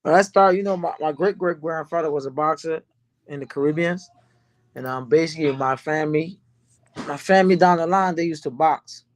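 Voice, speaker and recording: A professional boxer from Barbados answering interview questions in a soft-spoken, halting way, with fillers and repeats. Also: male voice, fairly high pitch, no echo.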